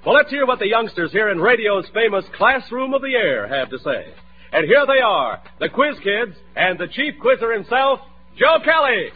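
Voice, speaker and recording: Animated high-voiced old-time radio announcer man. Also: moderate pace. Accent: American. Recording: tinny vintage radio recording.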